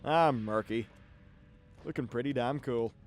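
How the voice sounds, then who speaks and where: A man's voice: mid-range voice, a little slow, faint music behind. A man giving casual, off-the-cuff video game commentary as host, chatty and wry, with some swearing.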